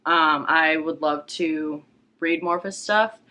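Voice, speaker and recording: Young woman w bright voice, casual book vlog chat, kinda hesitant, hunting for words. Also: low-pitched, fairly even intonation, slow. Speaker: book vlogger.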